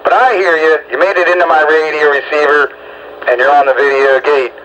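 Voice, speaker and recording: A man's voice: fairly high pitch, fairly even intonation, fairly quick, a crowd in the background. A man chatting casually over two-way radio as an operator checking in callers, with a folksy, rambling manner. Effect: two-way radio transmission. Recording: muffled CB radio with loud static.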